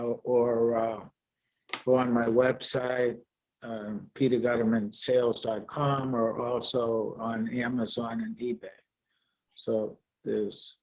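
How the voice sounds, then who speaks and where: A man presenting at a virtual conference and answering questions, halting with many fillers. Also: medium pitch, a little mellow, lo-fi sound. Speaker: presenter, product seller. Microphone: video call.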